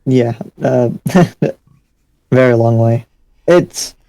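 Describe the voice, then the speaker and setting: Man playing a dark-voiced, halting, paranoid demon slayer. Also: gravelly. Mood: casual, curious. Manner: halting, repeats words, low-key. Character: frustrated, paranoid male demon slayer newcomer. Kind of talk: improvised anime Minecraft roleplay. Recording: slightly echoey room, music behind.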